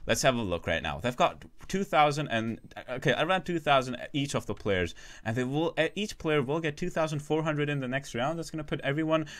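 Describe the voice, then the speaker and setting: A man calls a competitive shooter match alone, tumbling from play-by-play into asides to his viewers. His voice is bright and forceful, and he often restarts his sentences mid-call. He keeps a casual, joking touch even while tracking the action. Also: fairly high pitch. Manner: rapid, chatty, self-interrupting, jokes with chat. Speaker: esports caster. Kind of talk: solo live game commentary.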